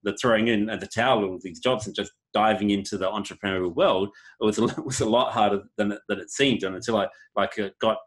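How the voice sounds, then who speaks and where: A man hosting a casual interview, talking haltingly with many "um"s, "uh"s and restarts. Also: lowish voice. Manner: hesitant, many fillers and restarts.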